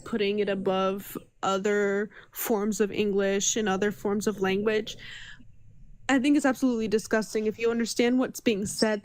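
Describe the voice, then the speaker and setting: A woman talking as a guest in a casual live-stream chat. She is emphatic and fills her speech with "like" and "um". Her voice sits somewhat high. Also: darkish tone. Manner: emphatic, speaks with many fillers.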